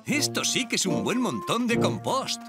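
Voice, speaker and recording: A man's voice: sits high, sing-song, moderate pace, over loud music. A man voicing an upbeat, dependable heroic fireman in a children's cartoon dub, with a European Spanish accent. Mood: friendly, helpful.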